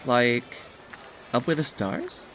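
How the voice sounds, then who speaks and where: A man plays a brooding, suspicious teenage boy in an audio drama. He sounds hesitant and defensive, pitch moving a lot, with faint background ambience. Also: wildly swooping pitch, takes it slowly, fairly bright tone, a little hiss or hum, full character voice. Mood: curious.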